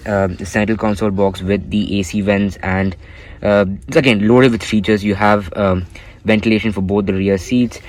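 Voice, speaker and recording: A man giving a casual walkaround of a car interior, pointing out features with frequent "uh" fillers, recorded on a handheld phone inside a car in a showroom. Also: fairly low pitch. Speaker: car reviewer.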